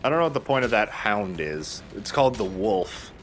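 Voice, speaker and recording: A man's voice: on the low side, big pitch swings, moderate pace, a little hiss or hum. A man giving casual, enthusiastic gameplay commentary as a let's play host, with some casual swearing.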